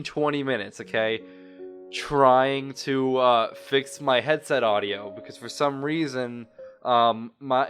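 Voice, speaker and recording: A man's voice: mid-range voice, fairly bright tone. A man giving casual let's play commentary, rambling and often rephrasing as he loses his thread. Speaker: gaming video host. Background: music.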